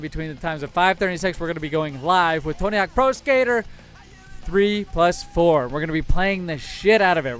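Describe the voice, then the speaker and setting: American guy streaming games, smooth clear voice, chatty and hyped, faint music behind. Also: on the high side. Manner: enthusiastic, rambling, mild swearing. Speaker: livestream host. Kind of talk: casual game streaming chat.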